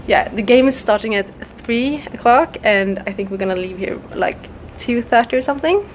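A woman's voice: a little high, lively intonation, moderate pace. A young woman from Sweden with a Swedish accent, chatting casually and giggly to a handheld camera outdoors. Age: young adult. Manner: giggly, upbeat. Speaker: vlogger. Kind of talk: casual vlog chat. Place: outdoors, garden and wooded riverside path. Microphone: handheld camera at arm's length. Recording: noisy outdoor camera audio with ambience.

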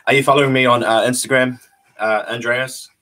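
A man's voice: mid-range voice, flat delivery, fairly quick, bright, forceful tone. Laid-back young man with a New Zealand accent. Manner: laid-back, chuckles often, repeats phrases. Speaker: vlogger hosting a livestream. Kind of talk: casual livestream chat answering viewers. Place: small bare room indoors. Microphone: webcam at desk distance.

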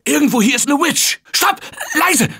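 Game survivor, urgent, bright high voice, lively, unhurried delivery. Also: male voice, gravelly, heavily acted. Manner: urgent barked warnings and calls for help. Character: male game survivor, tense office worker fighting zombies. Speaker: German dub of a game character. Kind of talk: game voice clips.

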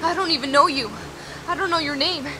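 A woman's voice: high-pitched, fairly quick, noisy background, natural voice. A woman playing a frightened, guarded young stranger in a sci-fi audio drama, speaking anxiously. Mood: wary, frightened.

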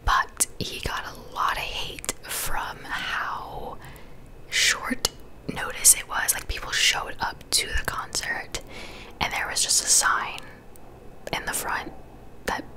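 A woman's voice: slow pace, bright, forceful tone, rough recording. A young woman in her twenties whispering casual gossip chat for an ASMR video, close to a studio microphone in a small bedroom. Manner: chatty, conversational whisper. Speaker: ASMR creator. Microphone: large studio mic close to the mouth.

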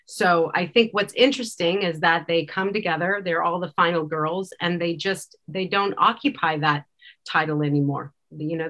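A woman's voice: fairly low pitch, fairly bright tone. Thoughtful woman in conversation. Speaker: podcast director, interview guest. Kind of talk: reflective conversational interview. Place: small indoor room, curtained. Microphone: video call.

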